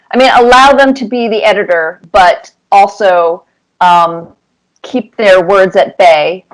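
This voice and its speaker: A woman cartoonist answering interview questions with casual anecdotes, telling them in an animated way and repeating words for emphasis. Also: a little high, low-quality recording.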